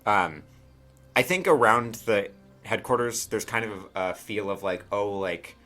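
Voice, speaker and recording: A man's voice: on the low side, expressive, a little slow, sharp tone, soft background music. A man acting as game master, casually narrating a tabletop game and talking directly to his players in a hesitant, conversational way.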